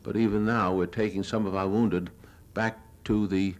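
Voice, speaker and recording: Older male, American, lowish voice. Reporter's measured, plain-spoken lecture narration of war film, archival 1970s recording. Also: steady tone. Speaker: newsreel reporter giving a lecture.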